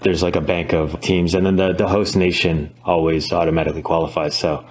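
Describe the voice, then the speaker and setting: A man's voice: low-pitched, flat delivery, a little fast. A man in his thirties from Brazil, explaining things casually as a podcast guest on a video call. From born in Brazil, moved to the United States as a child.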